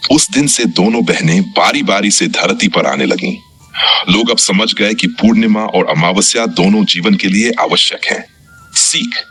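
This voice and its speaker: Low, husky man narrating a story. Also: sharp tone. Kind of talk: scripted moral story narration.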